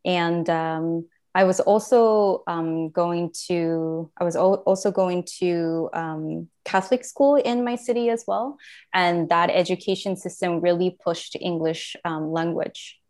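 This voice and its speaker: A woman's voice: lowish voice, unhurried, darkish tone. A woman in her thirties from Japan, Japanese by first language, with a slight Japanese accent, telling her story in a casual interview, rambling with many fillers and self-corrections. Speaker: guest.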